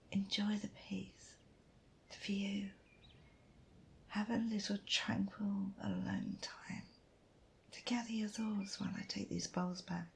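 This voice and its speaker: A woman performing a gentle, nurturing fantasy forest companion in a soothing, unhurried, whispered ASMR roleplay. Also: medium pitch, a little hiss or hum. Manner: soothing, unhurried, softly breathy.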